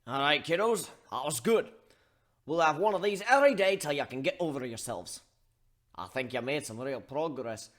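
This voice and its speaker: A man's voice: a little high, lively intonation, moderate pace, a bit bright, voice pushed up. A man voicing a big-hearted giant minotaur with a blunt, folksy, bossy way of talking. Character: gruff, big-hearted giant male minotaur airship crewman.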